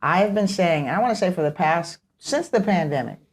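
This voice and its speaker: Low-voiced American woman, emphatic talker. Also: expressive, a little fast. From Pittsburgh, United States. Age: 50s. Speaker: podcast guest, teacher. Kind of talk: conversational interview.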